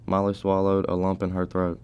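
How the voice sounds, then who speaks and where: Teenage male, story narrator, deep smooth voice, flat delivery, scripted reading. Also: fairly quick.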